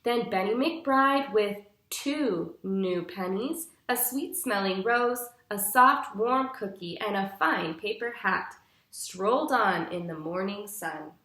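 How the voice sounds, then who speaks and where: A woman in her twenties reading a picture book aloud to young children like a teacher, with deliberate, clearly phrased, instructive storytelling. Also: mid-range voice, fairly bright tone. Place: small living room, indoors. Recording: roomy indoor echo.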